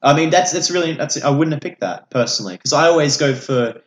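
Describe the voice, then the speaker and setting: A man's voice: on the high side, expressive, quick pace. Sweary young man in an echoey room. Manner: sweary and jokey. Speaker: podcast co-host. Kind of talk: casual film banter.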